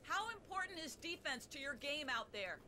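Bright, high-pitched sports reporter. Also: female voice, sing-song, moderate pace, slight background noise, full character voice. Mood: inquisitive. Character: female sports reporter asking press conference questions. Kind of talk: scripted interview questions.

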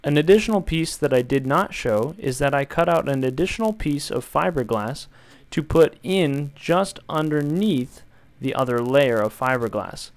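Smooth-voiced man giving calm instructions. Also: a little high, moderate pace. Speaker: DIY tutorial maker. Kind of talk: instructional voice-over.

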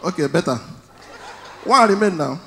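Nigerian comedian on stage, high bright voice, gravelly edge, shouts the punchlines. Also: male voice, expressive, normal speed, a little hiss or hum. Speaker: stand-up comedian. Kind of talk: stand-up comedy in Pidgin. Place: large indoor event hall on stage. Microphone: handheld mic.

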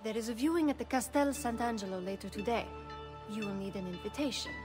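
Woman acting a poised, high-voiced Italian madam. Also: fast, close to an everyday voice. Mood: coy, businesslike. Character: poised, teasing Italian woman running a house of courtesans. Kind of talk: video game cutscene acting. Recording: music behind the dialogue.

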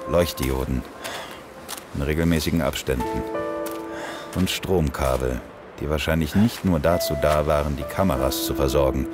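A man playing a cool, defiant police detective in an audio drama, speaking in a dry, deadpan manner. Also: extremely low pitch. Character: cool, defiant male police detective narrating his case. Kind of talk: first-person narration and dialogue in German audio drama.

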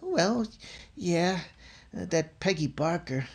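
A man's voice: high-pitched, very animated, takes it slowly, husky, heavily acted. An actor playing a blustering, easily flustered family man in old radio comedy style, stammering and exclaiming. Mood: shy, flustered. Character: blustering, bashful, flustered husband and father, comic everyman. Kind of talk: 1940s-50s radio sitcom acting. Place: indoors at home on a video call.

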